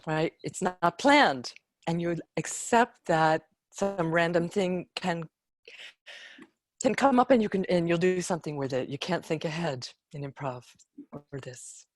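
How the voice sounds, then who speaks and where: A woman leading an online improv workshop for writers, encouraging and unhurried, often trailing off mid-thought. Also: fairly low pitch, no room sound. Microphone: video call.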